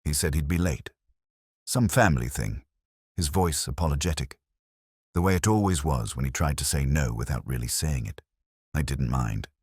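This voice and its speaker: Very low voiced guy reading a detective story, slow thoughtful first-person narration, lots of pitch movement. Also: expressive, darkish tone, husky, no room sound, studio quality. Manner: measured, reflective.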